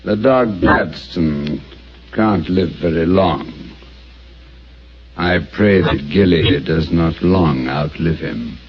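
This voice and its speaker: An actor playing a calm, methodical master detective who talks in a measured, explanatory way, on an old radio drama. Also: male voice, low voice, soft background music. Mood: somber. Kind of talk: 1940s radio acting. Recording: dull old radio broadcast with faint hiss.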